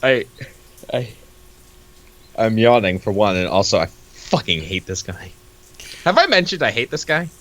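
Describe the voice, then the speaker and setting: A man's voice: fairly low pitch, very animated, measured pace, pauses often, a bit bright. A man co-hosting casual comedic banter, blunt, foul-mouthed and exasperated as he reacts. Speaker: co-host reacting to a comic reading.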